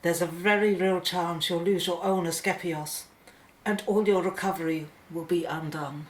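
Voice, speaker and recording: A woman's voice: on the low side, fairly even intonation, normal speed, lightly acted. A woman playing an urgent, determined woman scientist in a radio play, grave and wary. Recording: roomy, echoing studio sound.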